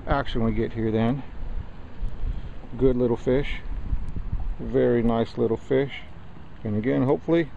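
A man hosting his own fishing video, talking casually to viewers while catching and releasing bass at a pond edge. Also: gravelly. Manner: easygoing, addresses viewers as ladies and gentlemen. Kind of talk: casual outdoor fishing commentary. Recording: muffled lo-fi camera audio with outdoor wind noise.

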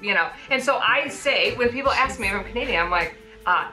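A woman in her forties with an American accent, hosting a casual chat on a sofa, animated and quick to laugh. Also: fairly high pitch, faint music behind, poor audio. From United States. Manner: chatty, laughs often, animated storytelling. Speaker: host. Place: living room, seated on a sofa.

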